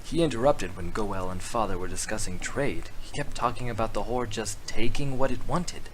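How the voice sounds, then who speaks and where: Earnest young prince, read by a man: lowish voice, thoughtful recounting, fantasy story, faint background noise. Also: normal speed, lightly acted, poor audio.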